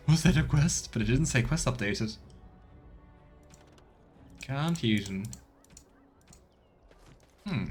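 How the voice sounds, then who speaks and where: A man streaming a fantasy role-playing game, reading text aloud and chatting in a playful, self-questioning way. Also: mid-range voice.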